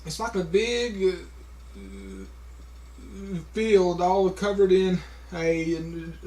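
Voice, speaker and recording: A man's voice: sits high, expressive, a little mellow, rough recording. A man talking casually to a camera, with a heavy stutter, repeating sounds and stopping on words, with many fillers. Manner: slow, halting, many fillers. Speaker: vlogger.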